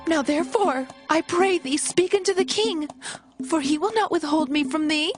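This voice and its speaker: Very high female voice, actress playing anguished young princess, pleading, drawn-out pace, biblical audio drama, prominent music. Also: lightly acted. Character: distressed young princess Tamar, pleading with her brother. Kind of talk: dramatized audio Bible acting, King James English.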